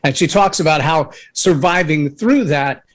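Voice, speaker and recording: A man guesting on a talk show, telling a personal story in an earnest, anecdotal way, with a voice that can boom when he raises it. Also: unhurried. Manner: earnest, anecdotal, acts out others' words. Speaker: guest speaker.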